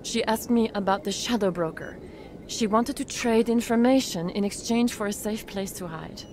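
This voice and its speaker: A woman's voice: mid-range voice, moderate pace, darkish tone, slight background noise, close to an everyday voice. An actress playing an earnest, shaken female doctor in a video game, explaining things carefully. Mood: anxious, explaining. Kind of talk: video game cutscene acting.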